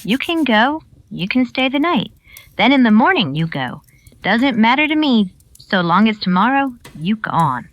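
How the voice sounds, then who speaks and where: A high-voiced, feisty small Chinese woman. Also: big pitch swings, measured pace, no echo. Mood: teasing, brusque. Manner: scolding, clipped broken English.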